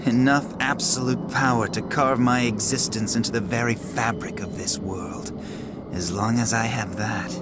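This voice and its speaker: An actor playing a cold, power-hungry villain ruler in a video game cutscene, with theatrical, condescending, drawn-out mocking phrasing. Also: male voice, medium pitch, fairly even intonation, close to an everyday voice. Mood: grandiose. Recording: loud orchestral music behind the voice.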